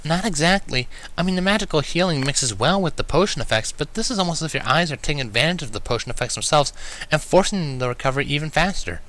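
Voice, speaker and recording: A man's voice: a little high, animated, quick pace, bright, forceful tone, pitched above the performer's own voice, rough recording. A man voicing a brainy, caring female unicorn who speaks earnestly and gets easily flustered, in a fan fiction reading. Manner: earnest, explanatory. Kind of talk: fan fiction storybook character voice.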